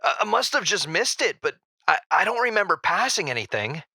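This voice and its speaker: A flustered, lively-voiced man, radio drama. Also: high voice, a little fast, creaky, husky, dry recording, clean studio sound, exaggerated voice. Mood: shaken, confused. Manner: stammering, flustered. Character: indignant, increasingly panicked male celebrity interview guest.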